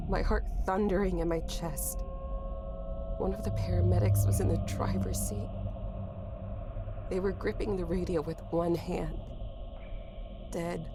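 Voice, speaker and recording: A woman playing a shaken young female survivor, narrating a horror audio drama in a tense, halting, emotional way. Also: lowish voice, natural voice. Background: music under the voice.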